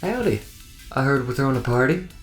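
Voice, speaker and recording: A man's voice: medium pitch, expressive, fairly quick, lightly acted. Guy doing a friendly folksy robot, super bouncy intonation, polite n naive, sci-fi roleplay, music behind. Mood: shy, unsure. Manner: folksy, eager, self-effacing. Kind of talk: audio roleplay acting.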